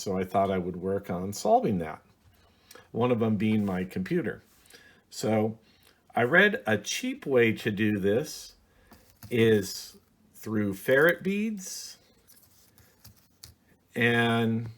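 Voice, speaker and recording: A middle-aged man casually explaining a hobby project in a home office, hesitant and plainspoken with frequent ums. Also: lowish voice. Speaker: radio hobby video host. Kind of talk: casual how-to demonstration.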